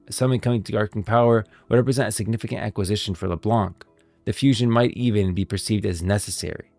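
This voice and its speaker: A male streamer with a low voice full of fry talks through game lore in a casual way. The sound is dry and close, with music underneath. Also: darkish tone.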